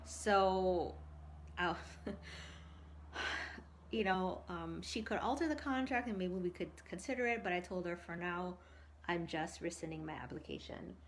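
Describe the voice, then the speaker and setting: Low-voiced woman coach chatting casually. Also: unhurried, pauses often, slight background noise. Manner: animated, conversational, acts out quoted thoughts and asks for agreement. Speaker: coach hosting a live stream. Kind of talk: casual livestream chat, personal anecdotes and advice.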